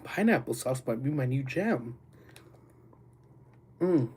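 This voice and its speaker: A man hosts a laid-back livestream, rambling through his chat with lots of fillers and easy laughs. His voice is mellow and darker in tone, with a slightly nasal, congested edge. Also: medium pitch, expressive, slow, slight background noise. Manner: rambling, loose talk with many fillers and frequent laughs. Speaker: livestream host. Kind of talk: casual livestream chat with viewers.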